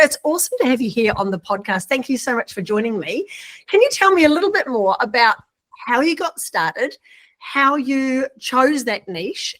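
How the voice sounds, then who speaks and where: A woman's voice: a little high, a bit bright. A woman hosting a podcast interview, upbeat and full of praise for her guest. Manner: enthusiastic, effusive praise. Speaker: podcast host.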